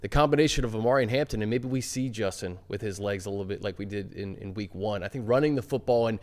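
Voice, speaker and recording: A man's voice: lowish voice, quick pace, darkish tone. A man with an American accent hosting a sports podcast and discussing football in a casual way.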